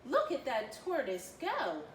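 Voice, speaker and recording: A woman's voice: a little high, wildly swooping pitch, measured pace. A woman voicing a cocky male hare from a children's storybook. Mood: cocky, breezy. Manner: boastful, breezy. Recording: roomy, distant mic, faint noise.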